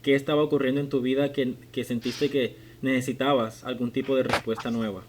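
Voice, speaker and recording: A man's voice: mid-range voice, little pitch movement, brisk, noisy background. Spanish-speaking man in his twenties hosting. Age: 20s. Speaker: podcast host. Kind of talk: conversational interview.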